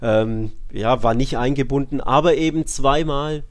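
A man's voice: mid-range voice, unhurried. Man talking football, colloquial and emphatic. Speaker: football podcast co-host. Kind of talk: casual football analysis chat.

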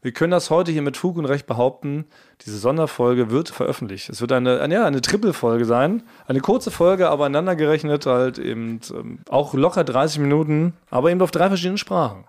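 A man's voice: fairly high pitch, expressive, brisk, darkish tone. A man hosting a casual chat among friends in a playfully melodramatic manner. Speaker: podcast host. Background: background music under the voice.

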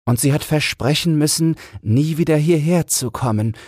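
A man's voice: on the high side, moderate pace, a little mellow, no room sound, studio quality, pitched above the performer's own voice, natural voice. A man voicing an old queen mother, reproachful yet merciful, in a fairy tale audiobook. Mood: consoling.

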